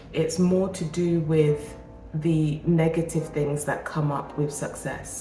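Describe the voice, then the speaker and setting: A woman's voice: deep voice, a little mellow. A woman talking to camera as a self-improvement vlogger, encouraging and casual, her voice nasal and groggy from a cold. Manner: earnest, encouraging, casual with restarts. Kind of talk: motivational talk to camera. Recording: roomy echo with music behind. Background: background music.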